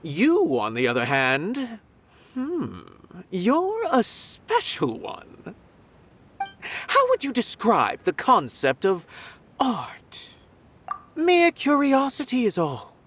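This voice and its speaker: A woman's voice: fairly high pitch, wildly swooping pitch, slow, heavily acted. A woman voicing an aloof, condescending art philosopher in a video game, haughty, musing and theatrical. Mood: haughty, appraising. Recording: music mixed behind voice. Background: clear game music.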